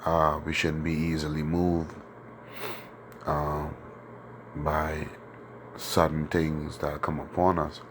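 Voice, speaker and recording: A man teaching an informal scripture lesson, hoarse from a sore throat, talking in a loose, conversational way with many fillers and tag questions. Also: very deep voice, flat delivery, takes it slowly, long pauses, noisy background. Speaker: teacher of a religious group.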